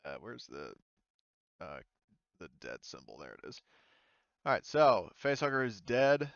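A man with a bright, clear voice acts as game master for a casual tabletop session. He thinks out loud as he rules on moves. The audio is dry and a little dull, like close-miked voice chat. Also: fairly low pitch, sing-song, unhurried, no echo.

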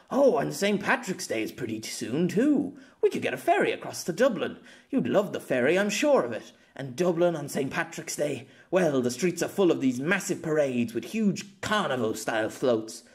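A man voicing a chatty, bashful young man who is eager to impress, in an audiobook story. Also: on the high side.

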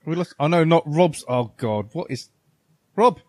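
A man's voice: a little high, lively intonation, measured pace, no room sound, studio quality. A man with an English accent chatting casually as a podcast host. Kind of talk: casual banter.

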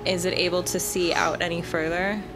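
A woman's voice: lowish voice, flat delivery, fairly quick, very noisy. A woman talking casually as a player in a tabletop fantasy game, with music underneath. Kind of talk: casual improvised game chat.

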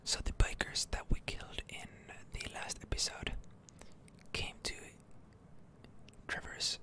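Guy whispering super slow and halting over a video game, asmr style, breathy, right up on the mic. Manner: hushed, halting delivery. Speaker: gaming ASMR streamer.

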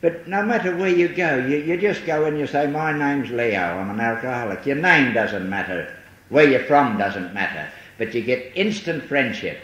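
A man's voice: mid-range voice, lively intonation, moderate pace, fairly bright tone, lo-fi sound. An older man with a Liverpool accent, giving a rambling, self-mocking personal testimony at a recovery meeting. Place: large hall. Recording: echoey hall, faint hiss on old tape.

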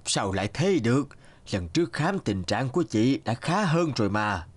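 A man voicing an elderly village healer in a cartoon, a stern, wise teacher who speaks in a measured, admonishing, instructive way. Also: mid-range voice, sing-song. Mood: stern, concerned. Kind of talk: Vietnamese cartoon voice acting.

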